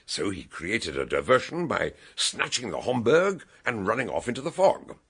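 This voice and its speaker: An actor playing a shrewd, commanding older British gentleman detective in a radio drama, booming and declamatory. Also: sing-song, moderate pace, husky, heavily acted. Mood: explaining, excited.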